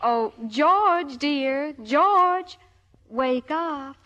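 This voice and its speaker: A fairly high female voice, gentle and dryly wry. a patient wife and mother in an old family radio comedy, soothing and quietly correcting. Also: lively intonation, extremely slow, in a large hall, lo-fi sound, exaggerated voice. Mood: concerned. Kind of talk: 1950s radio comedy acting.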